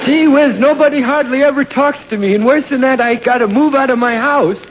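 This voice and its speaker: Very high, sorry skunk. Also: male voice, a little fast. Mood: self-pitying. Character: lonely, hungover, self-pitying skunk. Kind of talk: 1940s radio comedy acting. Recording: noisy old 1940s radio broadcast.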